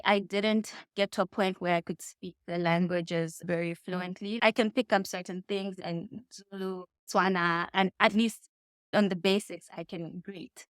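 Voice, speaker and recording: A woman's voice: medium pitch, fairly bright tone, clean tone, dry recording. A woman in her thirties with a Zambian accent, giving casual, reflective interview answers in carefully chosen words. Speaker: interview guest. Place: indoors in a home.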